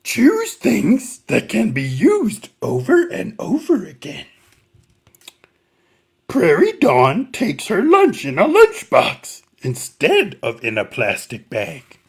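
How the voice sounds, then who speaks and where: A man voicing an excitable furry little monster puppet, reading a children's picture book in a strained, throaty voice. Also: high voice, slightly rough, voice pushed up, full character voice. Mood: earnest, instructive. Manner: emphatic, swooping, deliberate reading to small children.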